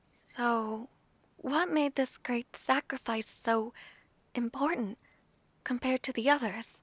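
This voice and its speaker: A woman playing an ailing, earnest young dragon-blooded noblewoman in a fantasy audio drama, speaking formally and haltingly. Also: fairly high pitch, no echo. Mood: earnest, curious.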